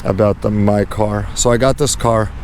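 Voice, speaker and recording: A man with a lowish, slightly raspy voice that sounds a bit under the weather presents a casual car walk-around. His delivery is earnest and unhurried, with very little rise and fall. Some outdoor vehicle and street noise sits behind him. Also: steady tone, darkish tone. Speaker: host of a car channel.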